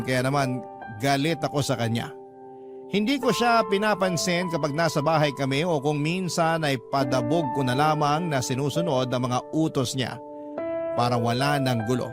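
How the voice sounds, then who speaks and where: A man's voice: on the high side, moderate pace, close to an everyday voice. A man reading a scripted first-person story as an emotional radio storyteller. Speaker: storyteller narrating a letter sender's story. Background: background music.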